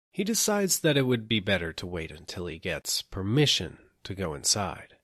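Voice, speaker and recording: A man narrating an audiobook, telling a literary story in a measured, deliberate way, phrase by phrase. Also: fairly low pitch, a bit bright, dry recording, clean studio sound.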